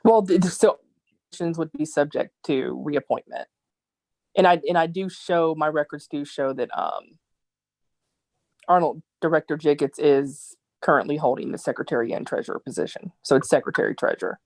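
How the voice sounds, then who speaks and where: A woman's voice: sits low, moderate pace, darkish tone, dry recording. A woman attorney giving precise, explanatory procedural advice in a formal online meeting. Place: video conference call.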